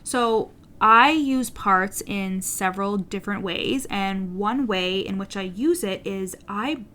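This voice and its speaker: A woman's voice: medium pitch, takes it slowly, bright, forceful tone. A woman business coach with a stutter, speaking earnestly and directly in a solo podcast monologue, encouraging and now and then swearing casually.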